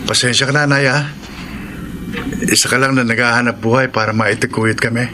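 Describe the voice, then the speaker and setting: An actor playing an earnest, considerate schoolboy from a poor family in a radio drama, respectful and gently pleading. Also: male voice, medium pitch, fairly even intonation, brisk, natural voice. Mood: earnest, apologetic. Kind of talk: Tagalog radio drama acting.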